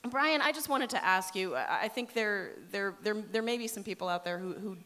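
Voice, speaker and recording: A woman works as a live radio host, presenting and interviewing from a stage. Her voice sits at a middle pitch and carries the long reverb of a hall. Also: animated, brisk, pushed and bright.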